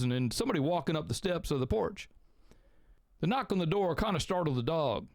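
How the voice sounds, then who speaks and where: A man, talking casually to viewers as host of an audio production channel and then reading out a short story. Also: on the high side. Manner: rambling and chatty, many fillers and restarts, keeps saying "man".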